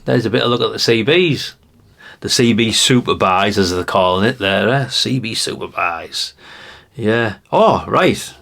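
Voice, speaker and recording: A man's voice: on the low side, animated, measured pace, vocal fry, a bit bright. A middle-aged man with a British accent, giving a casual, unscripted show-and-tell in a rambling, chatty way, talking informally to his viewers. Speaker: vlogger showing vintage CB radios and catalogues.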